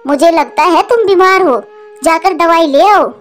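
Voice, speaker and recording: Very high-pitched, sharp-tongued mother crow, played by a woman. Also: unhurried. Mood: indignant. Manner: indignant, nagging. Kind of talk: Hindi cartoon voice acting.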